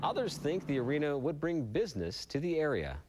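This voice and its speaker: A man's voice: fairly high pitch, sing-song, fairly quick, noisy background. A man with an American accent anchoring a TV morning newscast, reading news and chatting informally.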